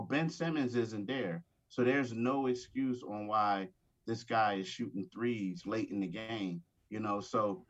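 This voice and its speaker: A man working as a sports beat writer, chatting casually as a guest, often repeating words as he thinks aloud. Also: lowish voice. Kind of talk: casual sports talk with playful ribbing. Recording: slightly dull, band-limited sound.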